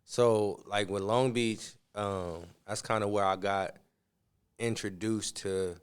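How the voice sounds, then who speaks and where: A man's voice: lowish voice, fairly even intonation, slow, smooth. A man from Southern California giving casual interview answers in a laid-back, slangy way. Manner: laid-back, slangy, often says "you feel me". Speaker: interview guest, musician and entrepreneur.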